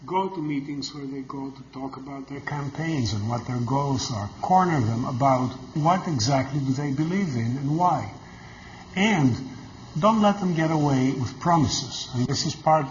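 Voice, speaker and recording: An older man fields questions from a lecture audience, speaking directly and with emphasis. His voice sits at a middling pitch for a man. The recording sounds slightly muffled, with faint noise underneath. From Greece. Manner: direct, emphatic, plain-spoken. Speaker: guest lecturer. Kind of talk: lecture Q&A answers. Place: lecture hall.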